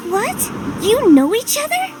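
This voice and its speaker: An actress voicing a small, spirited girl fairy in a video game, with a girlish, expressive and plain-spoken delivery, eager to be useful. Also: measured pace, full character voice. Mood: indignant. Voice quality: high, girlish voice. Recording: loud background music. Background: game music.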